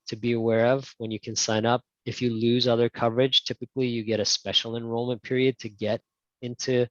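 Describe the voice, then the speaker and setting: A man's voice: mid-range voice, dry recording. A man answering questions in a casual webinar talk, hesitant with many ums and restarts, heard over a video call. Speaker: guest speaker on insurance. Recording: muffled lo-fi video call audio.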